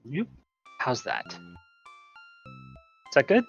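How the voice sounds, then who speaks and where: A man of middling pitch chats casually as he presents a game run. His delivery is halting and hesitant. The sound is dull and lo-fi, with faint music behind. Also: sing-song, gravelly. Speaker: speedrunner. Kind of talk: casual live gameplay commentary.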